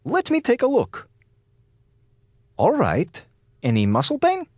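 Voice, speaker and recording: An actor playing a clinical, pedantic male doctor on a space station in a radio drama, clipped, formal and stern, with a husky voice. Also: extremely high pitch, wildly swooping pitch, slow pace, no echo, studio quality, full character voice. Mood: concerned, commanding.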